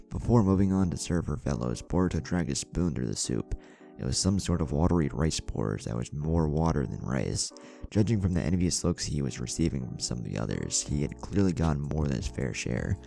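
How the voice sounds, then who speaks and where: A man's voice: a bass voice, vocal fry, mellow. A man reading a scripted story aloud as narrator over music. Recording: music bed under the voice.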